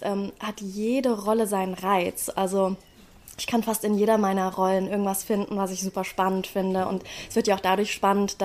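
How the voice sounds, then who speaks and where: Female stage performer, interview chat, medium pitch, candid informal phrasing that keeps checking for agreement. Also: a little mellow. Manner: candid, slangy, often seeks agreement with tag questions. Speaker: stage actress being interviewed.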